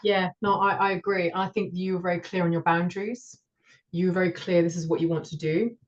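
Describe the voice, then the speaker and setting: A woman's voice: on the low side, steady tone, fairly quick. A woman speaking as a guest in a casual chat, supportive and a little hesitant. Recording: dull, muffled call audio.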